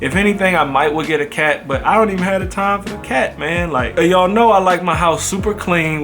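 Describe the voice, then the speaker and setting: A man in his twenties answering viewer questions in a relaxed, slangy vlog, talking straight to his audience. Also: mid-range voice. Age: 20s. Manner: laid-back, slangy, talks straight to viewers. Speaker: YouTuber. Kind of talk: casual Q&A vlog.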